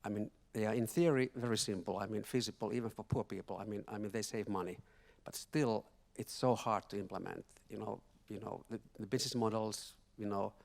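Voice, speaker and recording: A man speaking as a panelist at a conference workshop, talking haltingly with many repeated words and restarts. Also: fairly low pitch, fairly even intonation, gravelly, darkish tone. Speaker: panelist from a finance institution. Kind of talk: panel discussion remarks. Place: conference hall.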